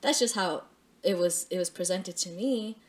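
A woman's voice: fairly low pitch, moderate pace. Reflective woman, casual chat. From Canada. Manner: reflective, thinks aloud with fillers and restarts. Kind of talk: casual conversation.

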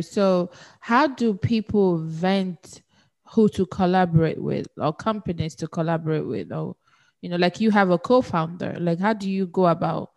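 A woman's voice: fairly low pitch, a little mellow. A woman hosting a podcast interview who keeps the talk going with short affirmations and questions. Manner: encouraging, with brief affirmations. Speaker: podcast host. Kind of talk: conversational interview.

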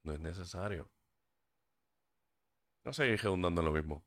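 A man's voice: fairly low pitch, fairly bright tone. A man hosting a solo podcast, talking earnestly and stumbling over words now and then. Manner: earnest and preachy, stumbles on words, drifts into English fillers. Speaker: podcast host. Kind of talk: casual solo podcast talk. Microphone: desk microphone.

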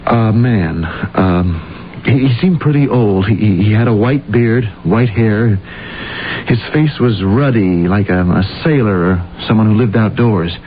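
Guy playing jittery stammering secretary in his 40s, lowish voice, tinny old radio show. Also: unhurried, slight background noise, lightly acted. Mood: nervous. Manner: hesitant, stammering. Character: nervous, meek, stammering 48-year-old male secretary. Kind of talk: old-time radio drama acting.

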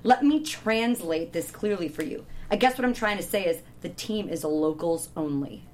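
A woman's voice: fairly low pitch, animated, moderate pace, darkish tone, a little hiss or hum, lightly acted. A woman at a table read playing a hostile, territorial teenage surfer bro with a sneering, slangy manner. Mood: dismissive.

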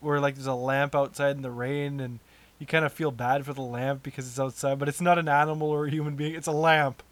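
A man's voice: mid-range voice, level tone, fairly quick, no echo. A man co-hosting a casual chat, joking around and laughing easily. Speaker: podcast co-host.

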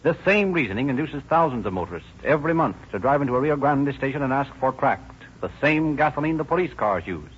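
A man announcing and narrating in a formal, measured 1930s radio style. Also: mid-range voice, animated, a little hiss or hum. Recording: muffled old radio recording, music behind.

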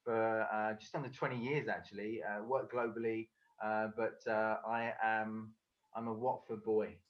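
A man's voice: lowish voice, level tone, unhurried, lo-fi sound. A man from England, a speaker coach, talking casually as a podcast guest over a video call, with many fillers and restarts. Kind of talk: casual conversational interview.

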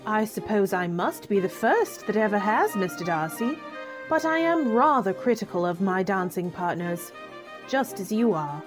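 A woman playing a proud, witty young gentlewoman in a radio drama, cool and formal. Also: medium pitch, expressive, moderate pace, music in the background, lightly acted. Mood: cool disdain. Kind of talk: old-fashioned radio drama acting.

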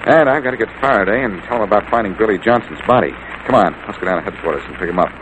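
A cool, self-assured sleuth from a 1940s radio mystery, played by a male actor. His low voice swings freely as he needles and wisecracks. The old broadcast recording is plainly noisy. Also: animated, racing, muffled recording, close to an everyday voice. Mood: assured, explaining. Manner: wry, confident, needling. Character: cool, wisecracking amateur detective, sure of himself. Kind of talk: 1940s radio detective drama acting.

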